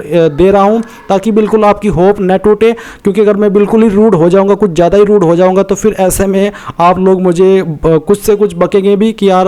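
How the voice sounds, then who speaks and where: A man's voice: sits high, darkish tone, clean tone. An Indian man hosting an informal explainer for viewers, talking conversationally and repeating his points, with English words mixed in. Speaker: YouTube host giving exam information.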